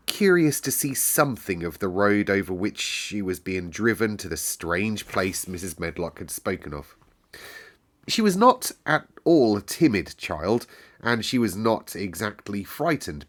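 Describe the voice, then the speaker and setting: A man's voice: low-pitched, a bit bright. A British man narrating a storybook aloud as a storyteller. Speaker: storyteller, host.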